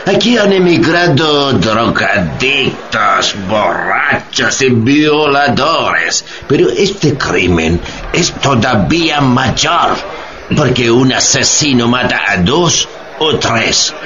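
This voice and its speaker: An actor playing a pompous, mocking judge in a satirical radio drama, with a declamatory delivery. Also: male voice, medium pitch, animated, slow pace, muffled recording, noisy background. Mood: pompous indignation. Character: pompous, mocking American judge condemning a foreigner.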